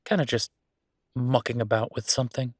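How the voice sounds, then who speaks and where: A man playing an affectionate, playfully grumbling young werewolf chef in an intimate, casual boyfriend roleplay audio, chatty and halting. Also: medium pitch, steady tone, no echo, studio quality, lightly acted. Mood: fond, enthusiastic.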